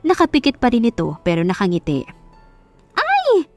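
A woman narrates a love story in a scripted, dramatic style. Her voice sits at a medium pitch, and music plays behind the narration. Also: expressive, moderate pace. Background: background music.

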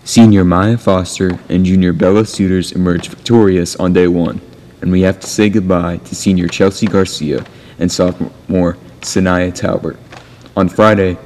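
A man's voice: deep voice, fairly even intonation, moderate pace, a little mellow. A man reading scripted narration as the narrator of a cooking show, recorded with reverb and some background noise.

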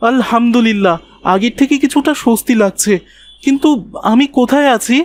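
A man voicing a polite, gentle young village boy in a cartoon. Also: very high-pitched, fairly quick. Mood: weak, grateful. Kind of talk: cartoon voice acting.

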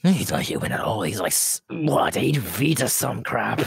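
A man playing an inhuman, menacing, giggly killer clown in a horror roleplay, with a raspy, breathy voice that slips into whispers and a rambling, teasing manner. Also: mid-range voice, sing-song, moderate pace, no room sound, clean studio sound, full character voice. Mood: rambling, amused. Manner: rambling, teasing, laughing at himself, erratic swoops. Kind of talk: in-character horror roleplay monologue.

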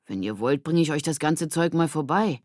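A woman's voice: sits low, animated, normal speed, darkish tone, no echo, clean studio sound. A woman voicing a blunt, casual schoolboy in a children's audiobook, in standard German. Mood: offhand.

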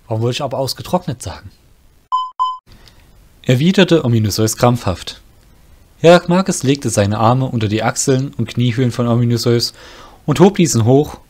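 A man's voice: medium pitch, moderate pace, long pauses, a little mellow. A man reading scripted fantasy narration as an audio drama narrator, breaking off to correct himself.

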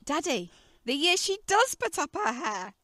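Woman playing a high, lilting, teasing teenage girl. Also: sing-song, measured pace, bright, forceful tone, no echo, studio quality, heavily acted. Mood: eager, reassuring. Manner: teasing, girlish, coaxing. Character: playful, affectionate teenage daughter on the edge of womanhood. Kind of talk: radio play acting.

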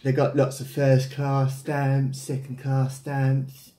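English bloke chatting on a call, kinda mellow, rambles and hesitates, roomy sound. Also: male voice, mid-range voice, completely flat delivery, slow pace, a little hiss or hum. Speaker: prank caller. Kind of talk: casual phone chat. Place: small domestic room, indoors. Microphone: camera at about arm's length. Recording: echoey room.

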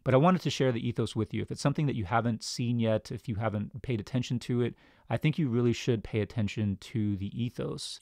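A man's voice: medium pitch, a little mellow, no echo. A man in his thirties giving a casual product review into a close studio microphone in a small home studio. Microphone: studio broadcast mic on a desk stand, close.

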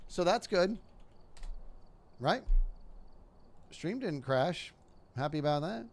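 A man's voice: fairly high pitch, animated, takes it slowly. A man streaming a game, chatting casually with rambling restarts and frustrated muttering. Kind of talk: casual gaming stream chat.

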